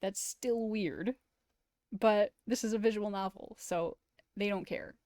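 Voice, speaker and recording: Woman, game streamer, casual commentary, opinionated, informal, medium pitch, dry close sound. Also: measured pace, darkish tone, no room sound. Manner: opinionated, informal, uses "like" often. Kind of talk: casual commentary and visual novel read-aloud.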